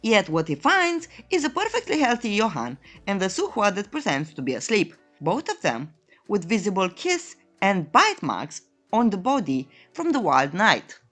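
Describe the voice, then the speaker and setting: A woman narrates a comedic recap with extremely animated pitch that leaps up and dips down constantly. Her delivery is playful and theatrical, like scripted storytelling with a tongue-in-cheek edge. Music plays behind her voice. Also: normal speed. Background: background music.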